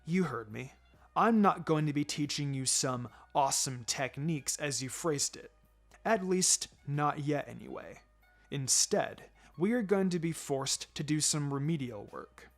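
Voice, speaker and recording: A man voicing a calm, firm male ninja teacher in a story, instructing in a measured, matter-of-fact way. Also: fairly high pitch, pitched below the performer's own voice. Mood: stern. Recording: music under the voice.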